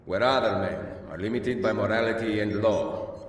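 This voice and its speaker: A man's voice: lowish voice, normal speed, slight background noise, close to an everyday voice. A man playing a resolute, commanding Italian assassin leader in a dramatic video game, with an Italian accent. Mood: solemn. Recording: cavernous long reverb, music behind.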